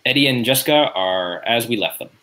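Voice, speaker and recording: A man's voice: mid-range voice, animated, fairly quick, bright, forceful tone. Man reading sitcom stage directions. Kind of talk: scripted narration of a sitcom script. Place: indoors at home on a video call. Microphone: video call.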